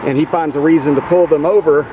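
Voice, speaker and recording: A man's voice: fairly high pitch, steady tone, fast, muffled recording. Casual man commentating over wind noise. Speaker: video host, commentator. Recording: outdoor wind and car noise.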